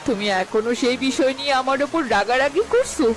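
A woman acting animated, high-pitched village wife. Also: a little fast. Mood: defiant. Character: proud, scolding village wife in a folk-tale cartoon. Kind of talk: dramatic cartoon dialogue. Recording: music and rain under dialogue.

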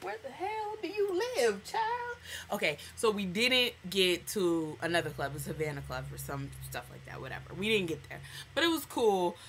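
A young American woman telling stories in a casual vlog, with an animated, sassy, slangy manner, acting out reactions as she goes. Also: mid-range voice, normal speed, slight background noise. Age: young adult. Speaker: vlogger. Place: small indoor room at home.

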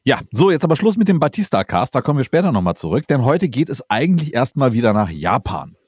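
A man's voice: mid-range voice, big pitch swings, fast talker, a little mellow, slightly rough, no room sound, clean studio sound. A German man co-hosting a casual history podcast, explaining chattily with fillers and restarts. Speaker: podcast co-host presenting the episode.

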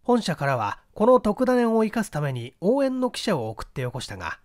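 A man's voice: sits high, wildly swooping pitch, a little fast, a little mellow. A man reading a literary mystery story as a scripted narration. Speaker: audiobook reader, stage actor.

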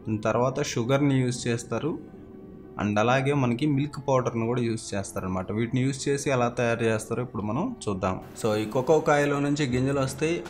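A young man talks viewers through a workshop in a friendly, explanatory tone. His voice sits in a middle pitch, and it was recorded indoors on a clip-on mic. Soft music plays faintly underneath. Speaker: vlogger. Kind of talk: casual vlog narration. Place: small factory workshop, indoors.

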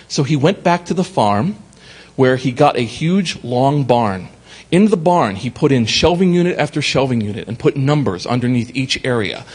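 A man's voice: medium pitch, husky. A man giving a conference talk, telling a story with profane comic asides and acted-out exchanges. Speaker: conference speaker. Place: conference hall.

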